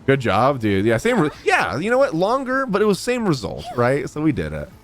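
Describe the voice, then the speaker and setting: Animated male game streamer. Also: on the high side, fairly quick. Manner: animated, informal, exclamatory. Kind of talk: casual live reaction commentary.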